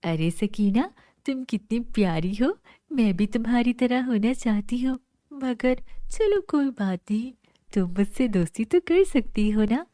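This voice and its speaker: A woman voicing a friendly young schoolgirl who speaks sweetly and flatteringly in a cartoon story. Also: a little high, measured pace, dry recording, clean studio sound. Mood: admiring.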